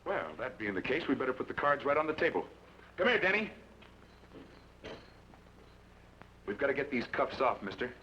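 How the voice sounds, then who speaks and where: An actor playing a tough outlaw who talks tersely and gives orders, in a 1940s radio drama. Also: male voice, medium pitch, very animated, fast, bright, forceful tone, slight background noise. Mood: commanding. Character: tough outlaw gunman, adult man, blunt. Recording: dull old radio, heard from a distance, music behind.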